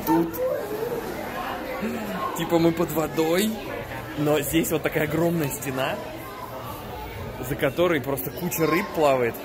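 A young man narrating a casual travel vlog into a phone held at arm's length, talking in a relaxed, slangy way. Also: on the high side. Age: young adult. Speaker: travel vlogger. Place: outdoors in a city and on a ferry.

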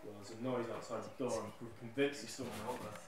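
A man's voice: mid-range voice, fairly even intonation, fast talker, background noise. A man in his twenties, a radio host, talking casually to camera in a nervous, jittery ramble. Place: indoors in an old hall room. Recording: echoey room sound.

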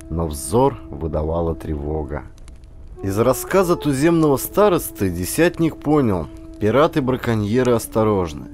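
Animated male audiobook narrator. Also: mid-range voice. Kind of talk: dramatic scripted audiobook narration of historical adventure.

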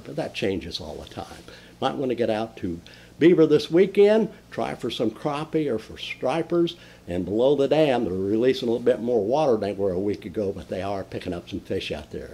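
An older man hosting a folksy fishing report from a den, talking with lively enthusiasm and frequent fillers and restarts. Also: lowish voice, husky. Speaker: fishing show host. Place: indoor den with mounted fish on the wall.

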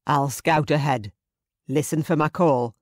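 A narrator voicing a dutiful, courteous Highland guardsman with brisk, old-fashioned phrasing in an audiobook. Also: no echo, studio quality, lightly acted. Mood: alert. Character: dutiful, gallant Highland guardsman, adult man.

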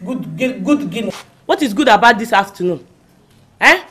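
A man plays a strict female caretaker, nagging and repeating her orders. The voice sits high and swoops widely. Its tone is bright and cutting, with faint music behind. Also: big pitch swings, normal speed, heavily acted. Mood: scolding. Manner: scolding, nagging, repeats demands. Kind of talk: comedy skit acting.

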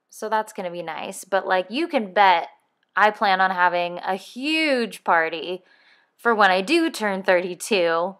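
Slow-talking chatty woman in her thirties. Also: medium pitch, fairly bright tone. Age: 30s. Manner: chatty, rambling, self-correcting with laughs. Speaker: podcast co-host. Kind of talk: casual podcast chat.

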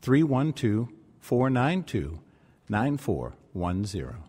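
A man delivering scripted radio ministry announcements and scripture in an earnest, deliberate way. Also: mid-range voice, sing-song, warm tone, husky.

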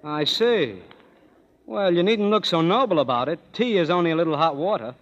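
A man's voice: fairly high pitch, animated, normal speed. An actor playing a cynical young male musician in his twenties, firing off dry sarcastic wisecracks, in a 1930s radio play. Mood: sardonic. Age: 20s. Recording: dull old radio broadcast, long hall reverb, music behind.

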